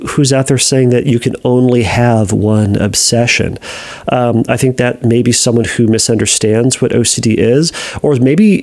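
A man's voice: medium pitch, a little mellow. Hesitant man answering listener questions. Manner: hesitant, many fillers and restarts; reads listener letters aloud. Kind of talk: podcast Q&A.

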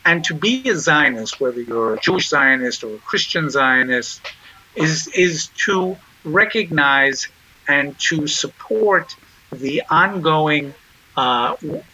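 Measured male interview guest, bright tone. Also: a little high, low-quality recording. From Israel. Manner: measured, deliberate, with frequent pauses and restarts. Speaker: guest, nonprofit chairman. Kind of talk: interview answers.

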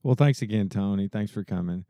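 A man's voice: lowish voice, fairly quick, warm tone, no room sound, studio quality. A man hosting an interview podcast, asking questions and signing off in a friendly, scripted way. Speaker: podcast host.

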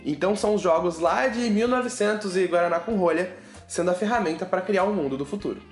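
A man's voice: sits high, fast talker. A man with a Brazilian Portuguese accent hosting a casual science news podcast, chatty and joking with listeners. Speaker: podcast host. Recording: roomy echo with faint music.

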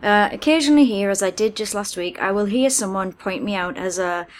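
A British woman co-hosting a podcast, reading messages aloud and chatting in a halting, hesitant way. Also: mid-range voice. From United Kingdom. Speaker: podcast co-host.

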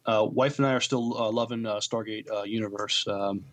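Slightly low-pitched man, halting podcast co-host. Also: fairly even intonation, a bit bright. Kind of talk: casual chat.

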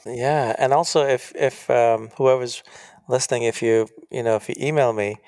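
A man's voice: mid-range voice, steady tone, normal speed, darkish tone, no echo. A man, an author as guest, talking earnestly and animatedly in a casual interview.

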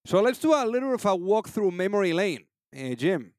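Smooth-voiced man hosting an interview. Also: sits high, a little fast, dry recording, clean studio sound. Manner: relaxed, filler-heavy questions. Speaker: podcast host. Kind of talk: casual interview, reads sponsor ad.

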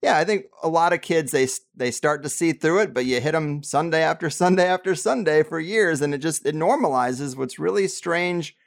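A man's voice: a little high, moderate pace, vocal fry, hardly any pauses. A man hosting a podcast interview, casual and blunt, swearing freely as he talks. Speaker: podcast host.

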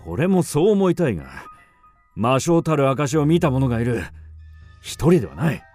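An actor playing a rough, superstitious warrior of old Japan, speaking bluntly and coarsely in a radio drama. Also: male voice, mid-range voice, sing-song, normal speed, darkish tone, faint music behind. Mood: grave, hushed. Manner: coarse rustic male speech, blunt.

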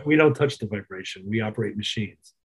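A man with a husky voice talking as a musician guest in an interview, measured and reflective as he recounts his career. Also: lowish voice, animated. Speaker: musician guest, touring keyboard player. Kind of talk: interview chat, telling career anecdotes.

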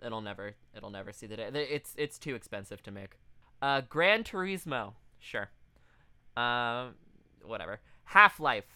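A man's voice: medium pitch, animated, unhurried, bright, forceful tone, clear, resonant tone, dry recording. A man co-hosting a podcast in casual chat, reading out a list with blunt, sweary asides. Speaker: podcast co-host.

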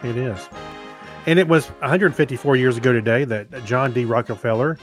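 A middle-aged man co-hosting a podcast, chatting casually in a halting, rambling way. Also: mid-range voice, soft background music. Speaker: podcast co-host. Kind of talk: casual chat.

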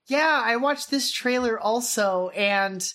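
A woman's voice: a little high, slow, a bit bright. A woman co-hosting a podcast, chatting casually and eagerly with frequent likes and restarts. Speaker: podcast co-host. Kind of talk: casual chat.

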